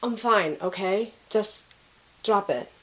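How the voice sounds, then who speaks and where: A woman's voice: mid-range voice, takes it slowly, a bit bright. Give me a woman acting a guarded young student. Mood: withdrawn, curt. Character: guarded, withdrawn young female architecture student. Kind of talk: amateur audio drama acting.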